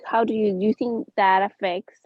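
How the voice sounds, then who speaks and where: A woman's voice: mid-range voice, steady tone, normal speed, no echo, narrow, tinny audio. A woman from Ecuador, an artist, chatting eagerly on a video call with lots of "like" fillers. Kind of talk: asking and introducing herself on a video call.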